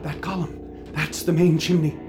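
An actor playing Callum, an excitable occultist who insists on calling out to a murdered man's spirit in a radio drama. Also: on the high side, normal speed, lightly acted. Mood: tense. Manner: urgent, theatrical, calls out to a spirit. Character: excitable occultist man named Callum, intense. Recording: music bed under dialogue.

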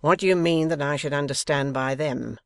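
A man's voice: a little high, steady tone, normal speed, creaky, a bit bright, clean tone, no echo, studio quality. A man voicing a tense schoolmaster in a novel, intense and impatient, with rage held barely in check. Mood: impatient, anxious. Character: tense, haggard schoolmaster seething with repressed rage. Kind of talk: dramatised novel reading.

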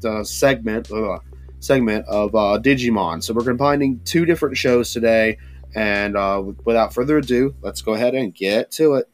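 A man's voice: mid-range voice, normal speed. A man hosting a casual solo podcast, stuffy-nosed and rambling with lots of fillers and restarts. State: stuffy nose. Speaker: podcast host. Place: hot humid home studio with fans running.

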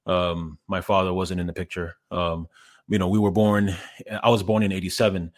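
Deep-voiced hesitant man from Ghana. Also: little pitch movement, normal speed. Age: 30s. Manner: hesitant, many fillers and restarts, laughs easily. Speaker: podcast guest, writer. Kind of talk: casual interview chat.

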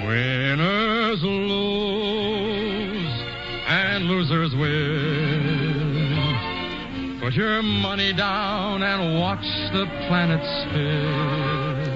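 A cheerful, hard-luck young prisoner drawls his lines and sings in a high, unhurried voice. The 1940s broadcast sound is muffled, with orchestra music heard loudly behind him. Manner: sings a wry ballad. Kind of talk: 1940s radio musical acting.

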